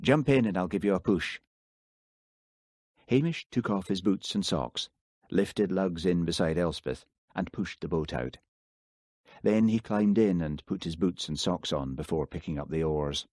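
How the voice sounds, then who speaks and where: A man narrating an audiobook with calm, steady pacing. His voice is very low and slightly husky, and the recording is dry and close with no room sound. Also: a little slow, no room sound. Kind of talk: scripted narration.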